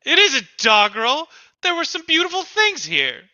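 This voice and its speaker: A man's voice: very high-pitched, wildly swooping pitch, normal speed, bright, forceful tone, no echo, muffled recording, exaggerated voice. A man playing a timid, bookish middle-aged husband in a live radio drama reading, hesitant and pleading. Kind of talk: live reading of an old-time radio script.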